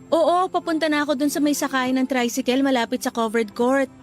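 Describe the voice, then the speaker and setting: Casting: actress for gentle, anxious young woman, Filipino accent, very high pitch, radio drama, light music bed. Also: steady tone, brisk, natural voice. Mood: friendly, light. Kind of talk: Tagalog radio drama acting.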